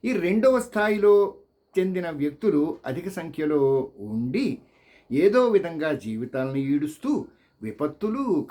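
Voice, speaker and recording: Slow, higher-pitched male storyteller. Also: a little mellow. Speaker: audiobook reader.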